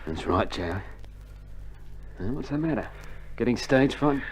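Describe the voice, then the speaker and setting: A man playing a wry, grumbling Australian soldier in a vintage radio war play. The voice is medium in pitch and colloquial, with faint hiss from an old vinyl recording. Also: expressive, unhurried, close to an everyday voice. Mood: low, wary. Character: grumbling, wisecracking, jumpy infantry soldier. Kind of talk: 1960s radio drama acting.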